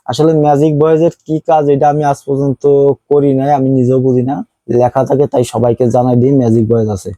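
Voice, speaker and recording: Man, Bangladeshi accent, very soft dark tone, dry sound, casual product review, explanatory, repetitive. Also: medium pitch, no echo. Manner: explanatory, repeats points. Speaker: phone seller reviewing a phone.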